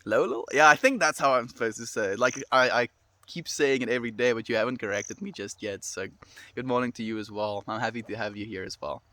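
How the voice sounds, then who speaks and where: A man in his twenties with a South African accent, chatting casually and fast with viewers on a livestream. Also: mid-range voice, pushed and bright, no room sound. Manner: fast-talking, friendly, reads and answers comments.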